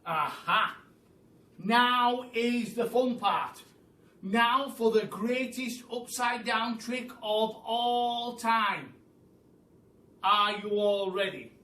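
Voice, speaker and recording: A man voicing an excitable monkey father who barks impatient orders in a children's storybook reading. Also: high voice, drawn-out words, pitched above the performer's own voice, full character voice. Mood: gleeful. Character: bossy, frantic monkey father leading a scheme. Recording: roomy, distant mic with faint noise.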